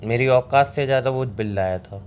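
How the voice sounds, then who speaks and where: A man's voice: mid-range voice, moderate pace, close to an everyday voice. A young man playing a cheeky, broke boyfriend in a phone-call drama, argumentative and colloquial as he justifies himself. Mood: teasing storytelling. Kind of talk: scripted comic phone-call drama between a couple. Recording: clear background ambient noise.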